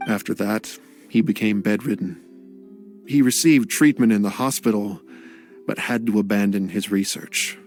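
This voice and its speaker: An actor playing a gentle male scholar and game creator in a game cutscene, soft-spoken and reflective. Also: lowish voice, normal speed, soft background music, close to an everyday voice.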